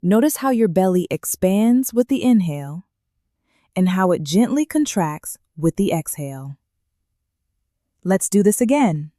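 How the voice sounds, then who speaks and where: A woman leading a guided meditation in a calm, gentle way, with slow soothing instructions and long pauses. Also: lowish voice, no echo, clean studio sound.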